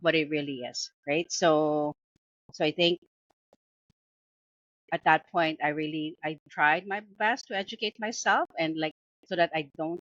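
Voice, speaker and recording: A woman's voice: sits low, fairly bright tone, no room sound. A woman with a Filipino accent chatting casually as a co-host of a book review show. She talks with many fillers and restarts and laughs often. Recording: dull lo-fi dry sound.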